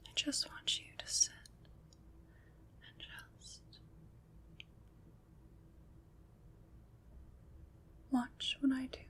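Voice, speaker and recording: A woman playing a gentle, caring witch in an ASMR roleplay, speaking in a breathy whisper with soothing, reassuring pauses. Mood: soothing, hushed. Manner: slow, soothing, reassuring, pausing between short phrases. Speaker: ASMR performer.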